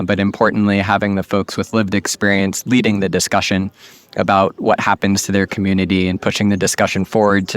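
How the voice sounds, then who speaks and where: A man giving a steady, earnest statement in an interview at a conference. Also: fairly low pitch, clear, resonant tone. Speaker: conference participant.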